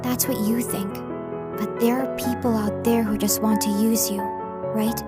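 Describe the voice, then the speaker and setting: Woman acting high-voiced, guarded runaway girl, anime dub. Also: steady tone, measured pace, natural voice. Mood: guarded, challenging. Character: guarded, cynical runaway girl living with her kidnapper. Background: music.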